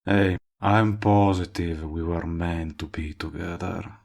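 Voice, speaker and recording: Guy acting as a cocky villain with a crush, low gravelly voice, teasing, slow drawn out talking, romance audio roleplay. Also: little pitch movement, a little mellow, full character voice. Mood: coaxing. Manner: teasing, smug, coaxing. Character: cocky, lovestruck male villain wooing a longtime friend. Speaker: voice actor. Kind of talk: intimate audio roleplay acting addressed to the listener.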